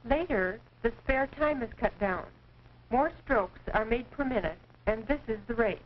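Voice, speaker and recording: A dull 1940s film soundtrack with faint noise carries a high-pitched American woman's voice. She narrates as a typing instructor, reading her script with precise, measured enunciation in the formal style of a wartime instructional film. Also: lively intonation.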